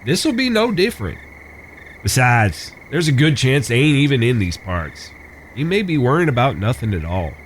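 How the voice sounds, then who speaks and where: A man's voice: medium pitch, sing-song, normal speed, slight background noise, natural voice, low-quality recording. A man playing a cocky, chivalrous Old West gunslinger with folksy, courtly phrasing in a western audio drama. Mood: reassuring, confident.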